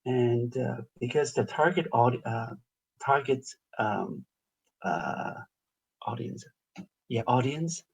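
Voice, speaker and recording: A male missionary answering interview questions haltingly, pausing to search for the right words. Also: medium pitch, gravelly, slightly rough.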